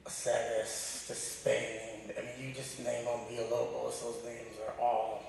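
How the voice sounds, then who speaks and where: A middle-aged man, a high school wrestling coach, giving an informal, emphatic memorial tribute full of stories in a loud voice. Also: monotone, unhurried, gravelly. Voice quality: loud, booming. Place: large echoey room at a high school. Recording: very echoey hall, distant, faint noise.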